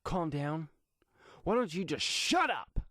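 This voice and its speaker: Man acting hot-tempered, agitated brother, pitched up. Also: sing-song, slow pace, dry recording, studio quality, exaggerated voice. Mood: furious. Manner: agitated, scornful, loud outbursts. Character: hot-tempered, tense brother of a young vigilante.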